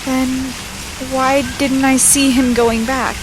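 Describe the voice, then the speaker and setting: A woman's voice: sits high, flat delivery, slow. A woman acting a curious, unsure young female newcomer in an audio drama, wondering and hesitant. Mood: puzzled, doubtful. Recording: constant rain-like hiss behind voice.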